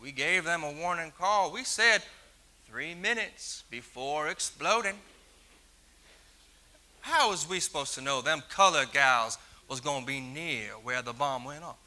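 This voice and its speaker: A man's voice: on the high side, a little slow. A man playing a sneering bomber. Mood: boastful. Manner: taunting, mocking, swings from muttering to shouting. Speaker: actor in a church skit. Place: church stage with audience.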